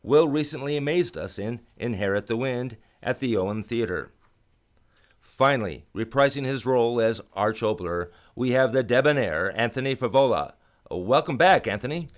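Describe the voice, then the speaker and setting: A man announces a radio hour in an old-time style. His voice sits at a middle pitch. The delivery is scripted and theatrical, with grand, flowery phrasing. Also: animated, moderate pace. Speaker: radio show host and announcer. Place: radio studio.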